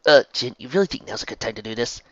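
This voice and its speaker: Laid-back teen lad, read by a male narrator, mid pitch, confident, wry and a little mischievous, audio story. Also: expressive, quick pace, dry recording, highs cut off, natural voice. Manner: casual, wry. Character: easygoing, confident, mischievous eighteen-year-old boy.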